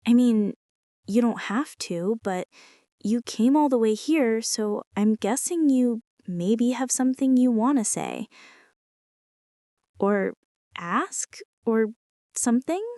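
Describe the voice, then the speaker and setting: A woman playing a shy, self-doubting teenage art girl in a girlfriend ASMR roleplay, speaking in a nervous, halting, rambling way. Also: on the high side, animated, dark tone, no echo, studio quality. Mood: guarded, hesitant.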